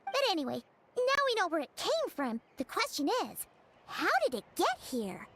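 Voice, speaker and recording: A woman voicing a small, excitable, childlike fairy companion in an anime game, squeaky and chatty. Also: normal speed, slight background noise, full character voice. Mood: excited. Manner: chatty, exclaiming, refers to self in third person. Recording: music behind the voice.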